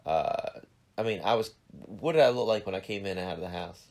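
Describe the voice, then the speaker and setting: Gravelly-voiced man in his forties. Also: sits low, fairly quick, darkish tone. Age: 40s. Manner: joking, self-deprecating. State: stuffed up, slightly nasal from allergies. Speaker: podcast host. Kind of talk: casual chat with his wife.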